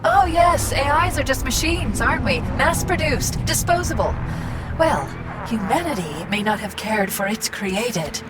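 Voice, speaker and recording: A rogue female artificial intelligence from a video game, proud and commanding. She speaks with sardonic grandeur and a self-righteous edge. Loud music runs behind her. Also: sits high, lively intonation, unhurried. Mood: bitter. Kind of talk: video game cutscene acting.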